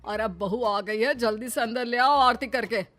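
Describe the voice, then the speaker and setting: A woman's voice: on the high side, moderate pace, fairly bright tone, soft background music, close to an everyday voice. A woman voicing a bossy middle-aged father-in-law in a cartoon story, with a commanding, teasing manner. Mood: bossy, dismissive. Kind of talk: cartoon moral-story acting.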